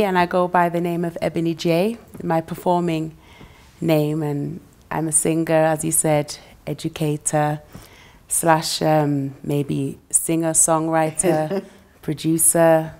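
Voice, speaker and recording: A woman's voice: deep voice, level tone, measured pace. A woman from England, chatting as a guest musician and laughing easily between phrases. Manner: warm, chatty, laughs between phrases, uses "you know" a lot. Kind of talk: relaxed interview chat.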